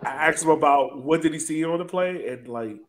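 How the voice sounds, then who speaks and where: A man's voice: a little high, fairly quick. A man hosting a casual sports podcast, chatting in a playful, teasing way. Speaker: podcast host.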